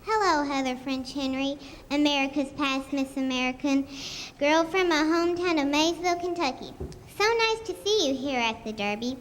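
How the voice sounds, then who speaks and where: A woman's voice: high voice, normal speed, close to an everyday voice. A young girl playing a famous older female singer reminiscing fondly in a school radio show. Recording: echoey school hall, faint noise.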